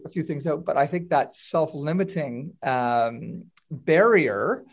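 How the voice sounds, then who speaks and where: Man, gravelly voice, slightly high pitch, friendly podcast co-host. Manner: friendly, hesitant with fillers. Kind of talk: casual podcast conversation.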